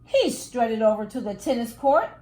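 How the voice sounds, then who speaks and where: A woman reads a picture book aloud to children as a storyteller, with deliberate, dramatic phrasing. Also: a little high, big pitch swings, warm tone. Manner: deliberate, dramatic phrasing with acted sound words. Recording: echoey, roomy sound.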